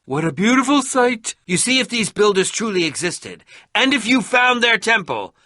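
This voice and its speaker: A man voicing an excitable, eccentric and pompous adventurer-scholar for a video game, theatrical and grandiose, with dramatic pauses and sudden outbursts. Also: sits high, no room sound, studio quality, full character voice. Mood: gleeful excitement. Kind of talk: animated video game character acting.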